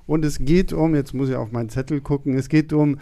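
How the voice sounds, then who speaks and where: Mellow-voiced German podcast host. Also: male voice, a little high, fairly even intonation, a little fast. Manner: loose, hesitant, many fillers. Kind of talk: casual film podcast chat. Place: studio.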